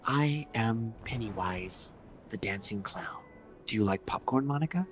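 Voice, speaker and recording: A woman voicing a creepy, taunting male killer clown through an AI voice changer, slow and hushed. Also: extremely low pitch, lively intonation, soft background music. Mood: menacing taunt.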